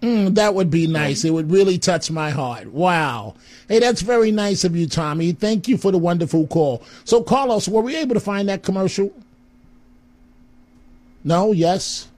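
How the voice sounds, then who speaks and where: A man's voice: sits high, lively intonation, moderate pace, a little mellow. A man hosting a call-in radio show, friendly and exclamatory, with rambling asides. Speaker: radio talk show host.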